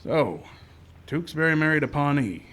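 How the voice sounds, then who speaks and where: An actor playing a steady, firm frontier marshal who also narrates, in old-time radio western style. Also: male voice, a little high, moderate pace. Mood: courteous, measured. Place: live stage show in a park.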